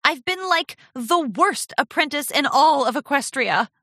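Woman voicing an eager, high-pitched girlish cartoon pony. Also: lively intonation, measured pace, sharp tone, no echo, studio quality, heavily acted. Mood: gushing. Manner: earnest, girlish, stammering when flustered. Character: eager, gushing young female pony apprentice.

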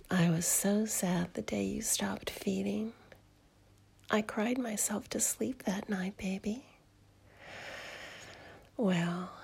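A woman playing a doting, possessive middle-aged mother in an intimate audio roleplay, speaking in a soft, whispery murmur. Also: medium pitch, heavily acted. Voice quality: breathy, whispery.

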